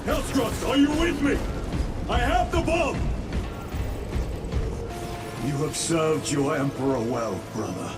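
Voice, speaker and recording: Game role: grim squad leader in space armour, vengeful and commanding, high-set voice with wide swings, measured pace. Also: male voice, big pitch swings. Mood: desperate, then solemn, vengeful. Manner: solemn, commanding, deliberate delivery. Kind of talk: dramatic video game voice acting. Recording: loud music and machinery behind voice. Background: loud score, machinery.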